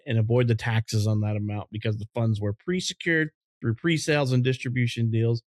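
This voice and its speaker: A man's voice: medium pitch, dry recording, clean studio sound. A man co-hosting a podcast, chatting casually and reading out facts about movies. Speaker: podcast co-host.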